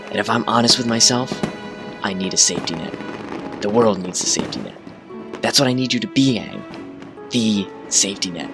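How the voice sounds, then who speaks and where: A troubled young ruler from a cartoon dub, solemn and weighed down by duty, acted by a man. The low voice speaks gravely and haltingly over clear music. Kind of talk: animated comic dub acting.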